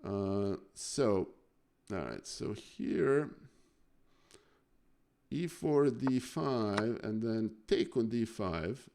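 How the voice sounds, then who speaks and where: A man from Serbia, casually chatting on a live stream while going over chess games, halting with many fillers and thanking viewers. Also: on the low side, expressive, creaky, darkish tone.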